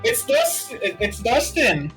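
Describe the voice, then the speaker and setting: A woman's voice: fairly high pitch, huge pitch swings, slow pace, low-quality recording. A woman casually reacting and commenting with friends during a video game playthrough. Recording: faint game music behind the voice. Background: video game music.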